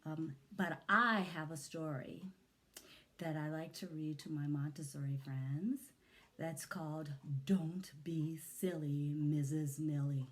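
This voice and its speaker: A woman reading a picture book aloud to young children in an expressive, deliberate manner, with little asides to her listeners. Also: low voice. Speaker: children's story reader, Montessori educator.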